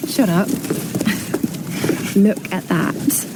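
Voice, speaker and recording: A woman's voice: mid-range voice, extremely slow, very noisy. An actress playing a dry, blunt, teasing young woman in a radio comedy. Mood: dreamily teasing. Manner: deadpan, teasing. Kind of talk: naturalistic radio comedy acting.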